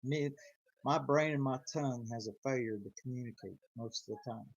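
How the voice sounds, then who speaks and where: A man's voice: medium pitch, brisk, creaky, dry recording, highs cut off. A man with a slight Arkansas twang, rambling and chuckling as he chats with viewers of his live stream. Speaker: YouTuber chatting with live viewers. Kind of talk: casual live-stream chat.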